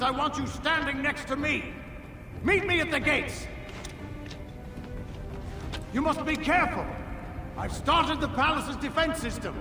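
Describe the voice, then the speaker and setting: An actor playing a commanding, protective young royal in a video game, projecting urgently. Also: male voice, very high voice. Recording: echoey, music behind.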